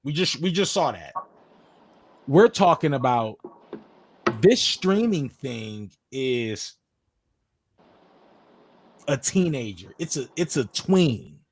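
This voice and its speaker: A man's voice: medium pitch, big pitch swings, slow, pauses often, slight background noise, poor audio. A man in his thirties hosting a livestream chat, talking in a profane, slangy way and steering the talk with questions. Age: 30s. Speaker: livestream host. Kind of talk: casual chat. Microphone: distorted mic at times.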